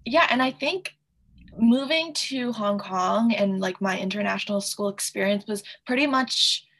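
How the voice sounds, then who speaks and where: American woman with vocal fry. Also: medium pitch, unhurried, a bit bright. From United States (Connecticut). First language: Mandarin. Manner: chatty, many fillers and little laughs. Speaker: podcast guest. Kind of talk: casual conversation.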